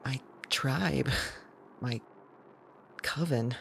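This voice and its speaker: A woman acting a searching, anxious fugitive visionary who talks to spirits, halting and correcting herself in an audio drama. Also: extremely low pitch, vocal fry, a little hiss or hum, full character voice, low-quality recording. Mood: searching, emotional. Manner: halting, self-correcting, repeats words. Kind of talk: naturalistic audio drama monologue.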